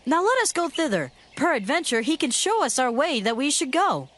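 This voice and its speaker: A woman's voice: high voice, sing-song, fairly quick, fairly bright tone. A woman voicing an eager, helpful young male servant in a dramatized scripture story.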